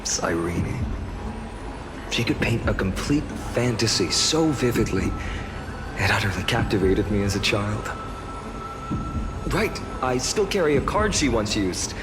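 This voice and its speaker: An actor playing a friendly, teasing young warrior man in an anime-style video game, wistful and skeptical, in a conversational, expressive way. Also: on the low side, measured pace, long pauses, music in the background.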